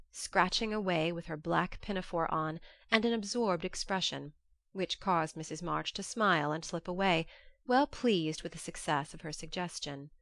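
A woman narrating an audiobook in an expressive, literary storytelling style. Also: fairly low pitch, dry recording.

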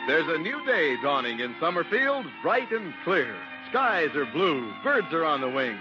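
A man's voice: fairly high pitch, sing-song, unhurried. A man announcing a 1950s radio show with polished, upbeat salesmanship. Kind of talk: 1950s scripted radio announcing and sponsor reading. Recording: muffled old radio recording with music. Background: music.

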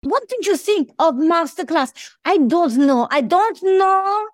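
Woman as a high, girlish show dog. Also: expressive, takes it slowly, no echo, clean studio sound, heavily acted. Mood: gushing, catty. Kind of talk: audio play acting.

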